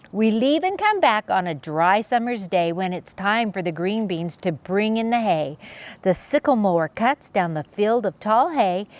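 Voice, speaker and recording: Female children's read-aloud host: unhurried, lively pitch swings, sing-song and playful. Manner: expressive sing-song rhyming delivery with playful sound effects. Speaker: children's story reader, channel host.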